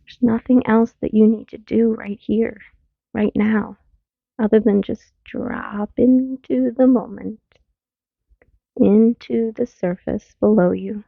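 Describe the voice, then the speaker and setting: A woman mindfulness teacher leading a guided meditation in a gentle, soothing manner, leaving long pauses between phrases. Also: on the high side, fairly even intonation, vocal fry, no room sound. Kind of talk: guided meditation, body scan. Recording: narrow phone-like sound, very dry.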